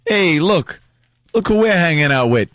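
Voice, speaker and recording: A man's voice: fairly high pitch, expressive, moderate pace, a bit bright, dry recording. A man co-hosting a radio show, dropping sardonic quips in comedic banter on a close studio mic. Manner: sardonic, quipping. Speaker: radio co-host.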